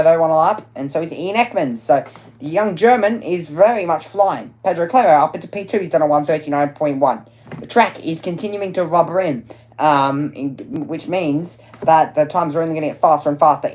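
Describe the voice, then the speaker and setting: Low-pitched woman doing live race commentary, chatty, lots of ums and quick self-corrections. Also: darkish tone. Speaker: motorsport commentator.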